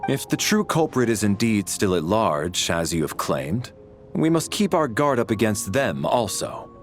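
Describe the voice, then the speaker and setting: A man's voice: lowish voice, sing-song, unhurried, faint music behind. A man voicing a stern, formal leader handling a murder case, clipped and authoritative.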